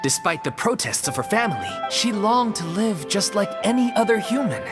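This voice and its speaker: A woman's voice: medium pitch, big pitch swings, normal speed, music in the background, lightly acted. An actress playing an earnest woman from a theater troupe in a video game, explaining things in a scripted, sincere way. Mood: earnest, storytelling.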